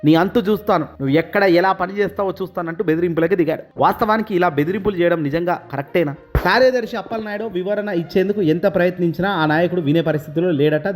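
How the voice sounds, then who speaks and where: Fast, high-pitched male news commentator. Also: dark tone. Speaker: news presenter. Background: background music.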